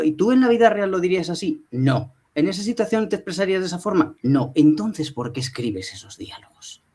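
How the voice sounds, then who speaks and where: Brief: man on a dubbing panel, emphatic, questions the audience. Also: medium pitch. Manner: animated, emphatic, rhetorical questions. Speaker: dubbing professional. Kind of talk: panel talk at a professional meeting.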